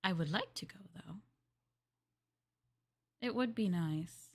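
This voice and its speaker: A young woman streamer in a maid roleplay, chatting in a polite, formal way, giggling and dropping into soft asides. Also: fairly low pitch, animated, takes it slowly. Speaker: VTuber streamer.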